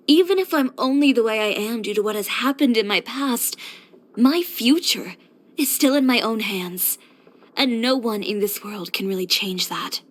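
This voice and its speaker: A young woman voicing a confident, blunt fashion designer and boutique owner in a scripted video game, with a poised, direct delivery. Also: a little high. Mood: reflective, resolute. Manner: self-assured, direct, poised with dry sighs.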